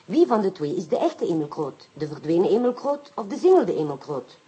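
An analytical female sleuth in a radio drama. The voice is very husky with lively, wide intonation, composed as she reasons things through. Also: fairly low pitch, fairly quick, lo-fi sound, heavily acted. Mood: reasoning, intrigued. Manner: analytical, drops in French phrases. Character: inspector's female French-speaking partner, analytical and composed. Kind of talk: radio play acting.

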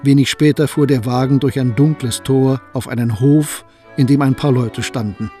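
A man narrating a historical radio play in a calm, measured storytelling manner. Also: medium pitch, soft background music.

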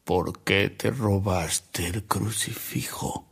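An actor playing a man who recounts anecdotes in a radio drama, with an unhurried, theatrical delivery. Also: sits low, fairly even intonation, vocal fry, breathy edge, full character voice. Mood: reverent storytelling. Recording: music bed under the voice.

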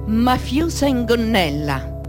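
A woman's voice: on the high side, sing-song, takes it slowly. An actress playing a defiant, anguished woman who informs on the mafia. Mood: defiant, accusing. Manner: intense, emotive, broken into short dramatic phrases. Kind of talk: dramatic radio theatre monologue. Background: music.

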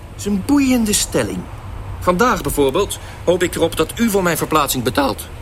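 A man's voice: sits high, big pitch swings, normal speed. A male actor playing a flighty, shameless jobless taxi passenger in a radio play, glib and digressive. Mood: brazen, bossy. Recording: clear vehicle and street noise.

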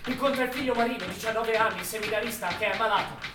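A man's voice: high voice, fast. A man delivering dramatic scripted narration as a stage actor. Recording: echoey, distant, clearly noisy stage recording.

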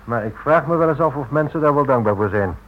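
A man acting in a radio drama as a brisk, businesslike, matter-of-fact office man. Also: medium pitch, expressive, close to an everyday voice. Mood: wry, cordial. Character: brisk, indifferent businessman dictating to his secretary. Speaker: actor. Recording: muffled old radio recording.

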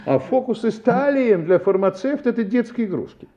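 An actor playing a plain-spoken, assured police inspector in an audio drama, talking in a wry, emphatic, conversational way. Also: male voice, extremely high pitch, expressive, a little fast, mellow. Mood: amused. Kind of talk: audio drama acting, recounting a case. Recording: slightly dull audio with music.

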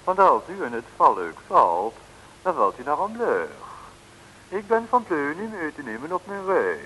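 An actor playing a menacing, gloating professor villain with formal, theatrical speech in a vintage comic radio serial. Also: male voice, on the high side, very animated, normal speed, bright, forceful tone, low-quality recording. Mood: threatening. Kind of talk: 1960s Dutch comic radio serial acting. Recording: hissy old radio recording.

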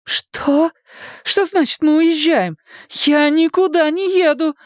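A woman voicing a rebellious, hysterical nineteen-year-old daughter in a dramatised audiobook, shrill and indignant in her protests. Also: expressive, normal speed, no echo, clean studio sound. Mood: indignant, defiant.

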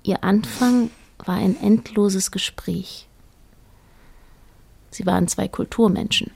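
A woman's voice: medium pitch, slow, creaky, darkish tone, slightly rough, exaggerated voice. A woman narrating a literary radio play as a detached, observant storyteller, in short plain sentences.

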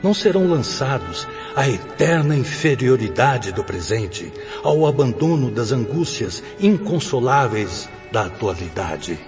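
A solemn spirit mentor in a radio drama, grave and oratorical. The pitch swings widely as the lesson unfolds in a long reverb. Soft music sits behind. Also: male voice, sing-song. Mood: solemn, reassuring. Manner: grave, oratorical, measured didactic delivery. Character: Sóstenes, solemn male spirit instructor addressing penitent souls. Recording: dull reverberant recording with music.

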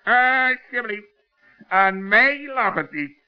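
Stuffy dignified bird, high voice, reproving, old kids radio play. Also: male voice, lively intonation, smooth, full character voice. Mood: dry, reproving. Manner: formal, deliberate, reproving. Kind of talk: 1960s children's radio play acting. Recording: dull old radio recording with music.

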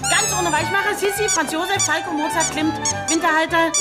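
A high-pitched, earnest female souvenir seller in a satirical radio play. She lists merchandise in halting, insistent sales patter over loud music. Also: steady tone. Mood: insistent selling. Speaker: shop presenter. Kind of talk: home-shopping sales patter.

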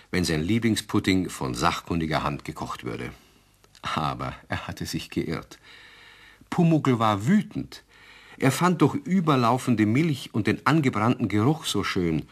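A man's voice: low voice, breathy edge. A man narrating a radio play, telling the story from a script.

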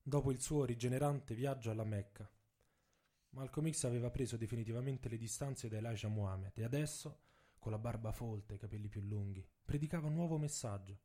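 A man reads a scripted dramatic story for radio theatre in measured, deliberate phrases with dramatic pauses. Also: mid-range voice, mellow. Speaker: author and narrator. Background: music, saxophone and drums.

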